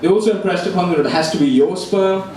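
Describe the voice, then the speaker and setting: A young man performing live stand-up comedy with a deadpan delivery, recorded in a room. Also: sits high, racing. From immigrated to Australia at age eleven. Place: indoor comedy room before a live audience. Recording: echoey, noisy room recorded from far off.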